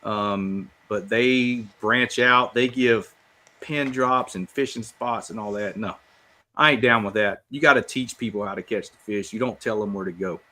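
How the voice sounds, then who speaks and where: A man chatting casually on a podcast in a plain-spoken, halting way. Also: on the low side, pushed and bright.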